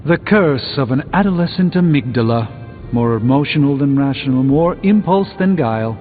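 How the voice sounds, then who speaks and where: An actor playing a proud, erudite sorcerer in a video game, speaking in pompous, formal, wordy phrases. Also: male voice, mid-range voice, lively intonation, normal speed, phone-quality sound, close to an everyday voice. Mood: wry, haughty. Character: pompous, erudite adult male sorcerer superhero, proud and wry. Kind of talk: video game character dialogue. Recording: thin band-limited game audio with music behind.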